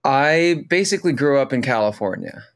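A man from the Philippines whose first language is Tagalog talks as a podcast guest in a friendly, chatty way, often restarting his sentences. His voice is smooth and clear, at an ordinary pitch for a man. The recording is dry, with no room sound. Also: expressive, normal speed, no room sound. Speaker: podcast guest, Broadway performer. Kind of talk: casual interview.

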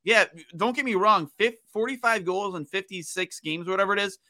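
A man's voice: sits high, fairly quick, sharp tone. A man co-hosting a sports podcast, giving casual, blunt opinions and repeating himself for emphasis. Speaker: podcast co-host.

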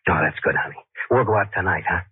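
An actor playing a volatile, manipulative ex-boyfriend in a 1940s radio drama, slangy and swinging between coaxing and bullying. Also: male voice, deep voice, animated, fast, breathy edge, dry recording, full character voice. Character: volatile, manipulative male ex-boyfriend, wheedling then menacing. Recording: tinny, muffled old 1940s radio recording.

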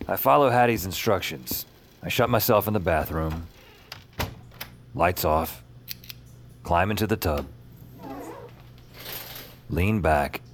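Wry, uneasy audio drama hero; low, unhurried, terse first-person narration with music under it. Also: male voice, lively intonation, a little hiss or hum, exaggerated voice. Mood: wry, wary. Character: wry, uneasy male hero telling his story. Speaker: narrator and protagonist. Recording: music behind the voice.

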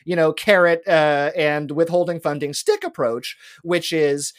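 A man's voice: on the high side, expressive, unhurried, no echo, studio quality. A man co-hosting a podcast, explaining trivia in a casual, rambling way with plenty of fillers. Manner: rambling, with many fillers and restarts; lecturing, explains in detail. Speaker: podcast co-host presenting a trivia segment.

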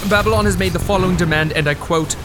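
An actor playing an earnest, urgent radio news reporter giving a formal live report from a battle. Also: male voice, sits high, quick pace, background noise, natural voice. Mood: urgent, grave.

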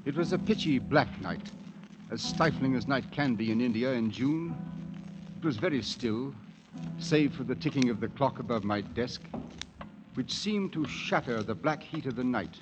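Animated, articulate newspaperman played by a man. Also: mid-range voice, moderate pace, over music, close to an everyday voice. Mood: brooding. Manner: articulate, formal, dramatic. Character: weary, sceptical newspaperman in colonial India, narrating. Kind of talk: 1940s radio acting, dramatic narration. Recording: muffled, reverberant, noisy 1940s radio recording.

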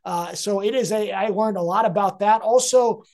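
A man, a guest sports writer, breaking down football games in casual analysis, halting and restarting his sentences often. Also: high-pitched, a little mellow. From India. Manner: hesitant, many restarts and fillers.

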